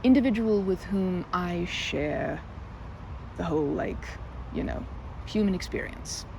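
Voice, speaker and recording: A woman's voice: lowish voice, unhurried, vocal fry, noisy background. A woman playing a timid, nervous young woman in an ASMR roleplay, stammering, repeating words and rambling in quirky, formal phrasing. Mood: awkward, earnest. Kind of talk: ASMR roleplay, one-sided audio drama.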